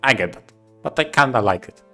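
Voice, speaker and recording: Man, casual podcast co-host, average pitch, choppy emphatic phrasing, light music in the background. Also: expressive, brisk, pushed and bright.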